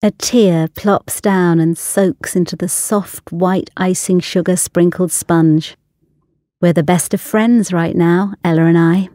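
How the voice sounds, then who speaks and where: A woman reading a literary short story aloud in a languorous, deliberate manner. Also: lowish voice, fairly even intonation, dark tone, dry recording, clean studio sound. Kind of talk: scripted literary narration.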